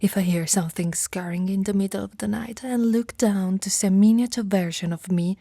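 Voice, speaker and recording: A woman's voice: medium pitch, fairly quick, vocal fry, mellow, no room sound. A woman playing an aloof, grumbling giantess, speaking breathily in a dry, dismissive, unimpressed way for an ASMR roleplay. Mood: sceptical, irritated.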